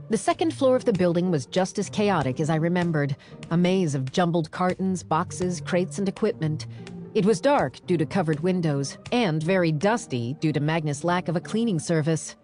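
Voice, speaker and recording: Female audiobook narrator, lowish voice, highly animated, wry first-person storytelling, faint music behind.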